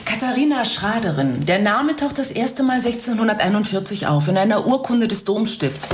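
An actress playing a helpful, precise town archivist in a naturalistic radio drama, with a matter-of-fact, informative manner. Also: female voice, medium pitch, expressive, normal speed, close to an everyday voice. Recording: roomy echo, faint noise.

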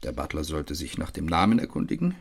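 An actor playing a reserved, dutiful private secretary in a radio crime drama, answering correctly and factually in a slightly husky voice. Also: male voice, very deep voice, wildly swooping pitch, fast talker, exaggerated voice.

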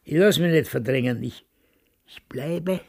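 A man's voice: on the high side, unhurried, full character voice. An older man acting a stubborn, grumbling man in his nineties in a radio play. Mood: defiant. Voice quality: gravelly, husky. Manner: emphatic, repetitive grumbling. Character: indignant, stubborn, vain, wistful 95-year-old man.

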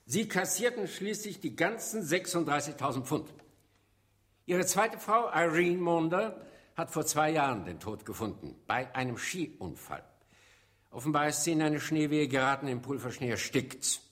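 An insistent male prosecutor in a German radio drama. His voice sits fairly high and moves up and down a great deal. He questions formally, with pointed irony. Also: lively intonation, normal speed, a bit bright. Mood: coolly incriminating. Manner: formal, pointed, ironic cross-examining.

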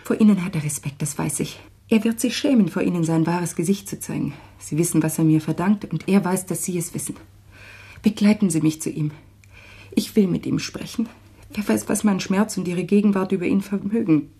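A desperate young woman forsaken by her lover for a radio play. Her voice is husky and creaky with a dark, muted tone, and she pleads in short, anguished phrases. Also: fairly low pitch. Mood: urgent pleading. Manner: anguished, halting, dramatic delivery in short phrases. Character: desperate, abandoned young French mistress pleading with a friend. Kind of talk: German radio play acting.

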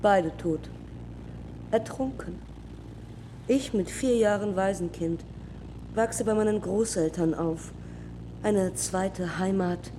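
An actress playing a wry, reflective young woman aviator of the 1930s in a radio drama, speaking deliberately in short, clipped fragments. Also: fairly low pitch, background noise. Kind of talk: radio drama monologue and dialogue.

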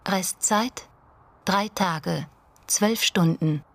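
Brief: automated auction announcer in a radio play, slow, formal, lowish female pitch. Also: vocal fry, dry recording, exaggerated voice. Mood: impersonal. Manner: clipped, formal, impersonal. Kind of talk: scripted announcement in radio drama.

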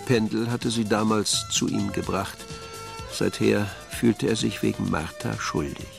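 A man's voice: on the low side, steady tone, a little slow, creaky, over music. A man narrating a radio crime drama from a script, in a wry storytelling manner.

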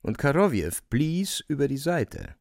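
A man narrating a radio drama from a script, in an expressive storytelling style. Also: mid-range voice, a little slow, vocal fry, dry recording, clean studio sound.

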